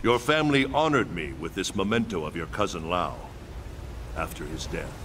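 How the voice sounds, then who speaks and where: A man's voice: low voice, lively intonation, moderate pace, background noise. A man playing a solemn, wise thunder god mentor in a video game, speaking with formal, grave diction. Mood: calm, solemn. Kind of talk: dramatic video game cutscene acting.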